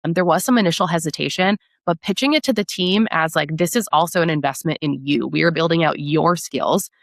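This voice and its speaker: Woman guest on a podcast, lots of vocal fry, explains stuff conversationally, really dry sound. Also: low-pitched, fairly quick, dry recording. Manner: explanatory, often checks with "right?". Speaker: podcast guest, product marketing director. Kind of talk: conversational interview.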